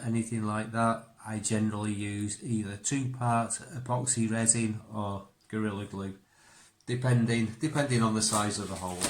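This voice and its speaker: A man's voice: medium pitch, darkish tone. A man who is a native English speaker, giving a casual hands-on bushcraft tutorial and thinking aloud informally. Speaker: bushcraft instructor.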